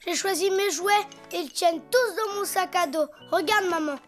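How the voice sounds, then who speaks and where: Small cartoon donkey boy, very high-pitched, childlike, imaginative, proud of himself. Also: moderate pace, fairly bright tone. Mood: cheerful, busy. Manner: chirpy, childlike, boastful. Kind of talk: French children's cartoon acting. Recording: faint music behind.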